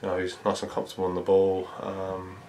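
A man, a football manager giving a measured post-match interview, thinking aloud with many fillers. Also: deep voice, completely flat delivery, dark tone, slight background noise. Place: small indoor press room before a sponsor board.

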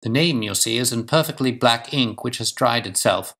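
Frank, deductive gentleman detective for audiobook, male reader, medium pitch, clipped and precise. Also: moderate pace, husky. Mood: analytical, brisk. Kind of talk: audiobook character dialogue.

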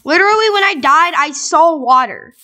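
A young woman playing a block-building game with a friend, chatting casually, excitable and insistent, dropping to murmurs while she plays. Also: high-pitched, moderate pace. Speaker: gamer. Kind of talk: casual let's play chat.

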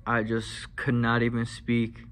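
A young man with a stutter, talking casually in a personal vlog, soft-spoken with many fillers, into a small handheld mic in a classroom. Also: mid-range voice, monotone, unhurried. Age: young adult. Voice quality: stutters, with blocks and repeated sounds. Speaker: vlogger. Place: indoor classroom. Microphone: handheld lavalier mic held near mouth.

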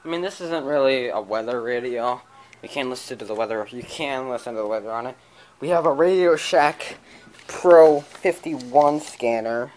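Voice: mid-range voice, normal speed, darkish tone, low-quality recording. A person hosting a home video in a casual show-and-tell style, recorded on a handheld camera in a small room. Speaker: amateur video host showing a radio collection. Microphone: handheld camera close to the speaker.

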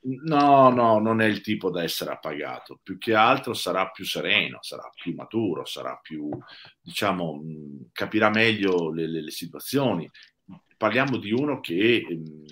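Give me an older man with a deep, low voice, pausing and restarting as he explains on a video call. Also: lively intonation, fairly bright tone. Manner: thoughtful, hesitant, lots of fillers and restarts. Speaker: guest technical expert. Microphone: video call webcam.

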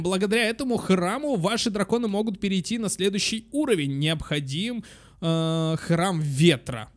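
High-voiced man chatting through a game. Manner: excitable, affectionate baby talk with diminutives. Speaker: gaming video host for children. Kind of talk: casual gameplay commentary.

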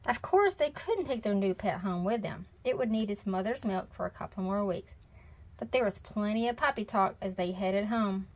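A woman's voice: mid-range voice, animated, moderate pace. A woman reading a picture book aloud in children's storytime narration. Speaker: storybook reader.

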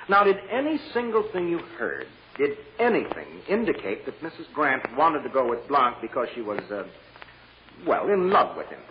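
Male defense attorney: probing, persuasive, widely varied pitch, heard through a muffled old radio recording. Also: big pitch swings. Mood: pointed, pressing. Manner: measured, pointed, persuasive. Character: shrewd, probing defense lawyer cross-examining a witness. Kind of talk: radio courtroom drama acting. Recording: muffled vintage radio recording with clear noise.

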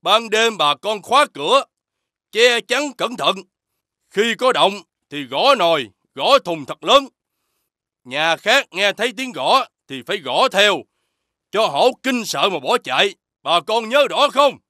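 A man voicing a commanding, careful village chief who instructs urgently in an animated fairy tale. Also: high-pitched, measured pace, dry recording, studio quality, exaggerated voice.

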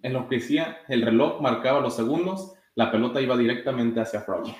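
A man's voice: mid-range voice, fairly quick, darkish tone. Man reading a storybook in an echoey room. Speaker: soccer goalkeeper reading a children's story. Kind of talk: read-aloud storytime. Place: indoor room with blinds, sitting. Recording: roomy echo.

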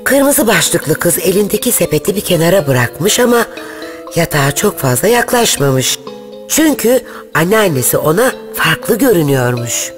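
A woman storyteller reading a scripted children's fairy tale in an expressive, measured way. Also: fairly low pitch, soft background music.

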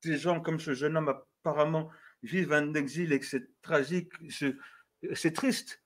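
A man's voice: a little high, steady tone, measured pace. A man, a writer on a panel, speaking earnestly with fumbling starts before an audience. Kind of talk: panel discussion. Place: café venue with audience.

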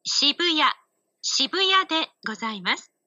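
A woman's voice: high voice, expressive, unhurried. A woman making formal station announcements, caught in a dull, noisy recording.

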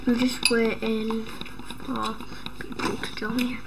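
Woman losing her voice while she talks over her gameplay, slow and rambly, yelps when startled, loud background noise and clicks. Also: flat delivery. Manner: rambling, with startled high exclamations. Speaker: gaming channel host. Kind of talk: casual gameplay commentary.

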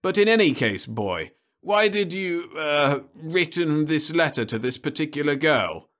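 A man's voice: fairly high pitch, lively intonation, a little slow, fairly bright tone, pitched above the performer's own voice. A man voicing a blustering older male official who thunders indignant questions.

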